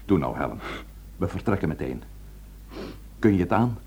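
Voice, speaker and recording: An actor playing a firm, probing police inspector in a Dutch radio play, authoritative and clipped in manner. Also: male voice, sits low, very animated, moderate pace, warm tone, slightly rough, exaggerated voice. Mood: brisk, controlled.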